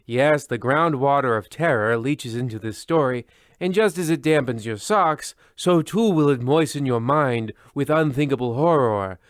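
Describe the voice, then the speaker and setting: A man plays a pompous, fussy horror host in a radio play. His mid-pitched voice is theatrical and florid, recorded dry and close. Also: normal speed, dry recording, close to an everyday voice. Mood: ominous, pompous. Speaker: narrator and host. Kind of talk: mock old-time radio horror narration.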